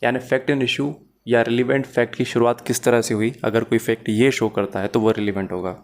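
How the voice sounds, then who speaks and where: A man's voice: mid-range voice, little pitch movement, a little fast. Methodical Pakistani man teaching. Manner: methodical, step-by-step explaining. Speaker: law teacher. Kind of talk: explanatory lecture mixing in English terms.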